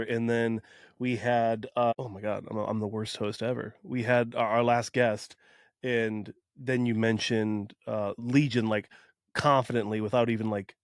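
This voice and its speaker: Man from Wisconsin, podcast host, mid-pitched, very dry close sound, joking, self-deprecating. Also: dry recording. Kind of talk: casual chat.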